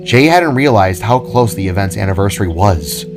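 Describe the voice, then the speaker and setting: A man's voice: fairly low pitch, animated, moderate pace. A man reading scripted fiction as a storyteller. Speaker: storyteller, narrator. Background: music.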